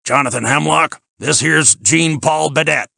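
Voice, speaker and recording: A man voicing a gruff older American mountaineer in an audiobook, with a folksy drawl and flattened foreign names. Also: a little high, a little slow, no room sound, studio quality, voice pushed up, heavily acted. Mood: brisk, dutiful.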